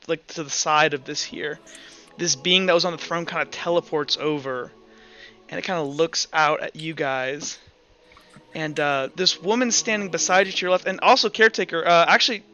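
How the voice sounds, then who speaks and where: Male game master, halting informal narration, sharp bright voice, slightly muffled recording. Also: sits high. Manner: halting, repeats and restarts phrases. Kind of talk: improvised tabletop game narration. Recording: muffled online voice call with background music.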